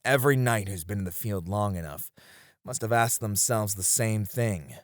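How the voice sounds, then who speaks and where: A man's voice: on the low side, lively intonation, normal speed, dry recording, studio quality, lightly acted. A man playing a seasoned knight, a wistful, teasing sparring comrade in a romantic roleplay, with reflective, hesitant phrasing. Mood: pensive. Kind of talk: romantic ASMR roleplay acting.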